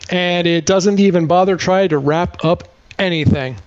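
A man's voice: high voice, moderate pace, darkish tone, dull audio. A man giving a comedic movie plot recap as a podcast host, with sarcastic, exasperated storytelling.

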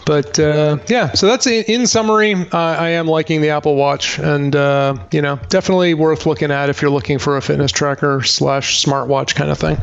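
A man's voice: fairly high pitch, steady tone, normal speed, creaky, few pauses, clear, resonant tone. A man co-hosting a podcast, chatting casually about tech in a rambling, conversational way over an internet call. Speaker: podcast co-host. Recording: dull lo-fi online call audio.

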